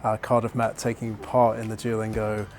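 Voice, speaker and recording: A man's voice: lowish voice, monotone, normal speed, a little mellow, faint music behind. A man interviewing a university leader in a friendly, casual manner. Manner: friendly, casual, some fillers. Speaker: interviewer, company director. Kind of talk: conversational interview.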